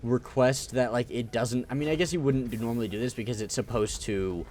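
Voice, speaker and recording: A man's voice: mid-range voice, fairly quick, a little mellow, soft background music. A man hosting a casual gaming stream, joking and rambling as he builds. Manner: joking, rambling, lots of fillers. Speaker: streamer host.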